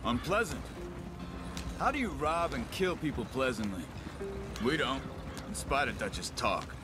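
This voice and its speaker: An actor playing a plain-spoken, thoughtful outlaw drifter with an American accent in a western game. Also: male voice, medium pitch, lively intonation, close to an everyday voice. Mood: dry, challenging. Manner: measured, matter-of-fact. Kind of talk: cinematic western game acting. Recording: music and horse hooves behind.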